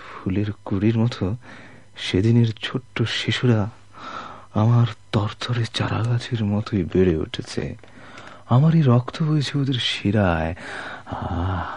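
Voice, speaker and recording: A long-lost sailor in a radio play, worn down and grieving for his family. His husky voice moves very slowly through halting, repeated phrases full of anguish and self-sacrifice. The sound is close and dry. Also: fairly low pitch, a little mellow, no room sound. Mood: tender pride. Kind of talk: radio drama monologue.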